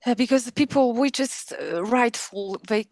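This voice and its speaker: A woman with a Polish accent, talking as a guest about running a film festival, halting with many restarts and small laughs. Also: a little high, expressive, darkish tone, no echo, clean studio sound. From Poland. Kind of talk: casual interview chat.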